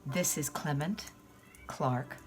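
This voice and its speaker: A woman reading a storybook poem to children in a theatrical manner, often dropping to hushed, breathy tones. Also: low voice, takes it slowly, music in the background.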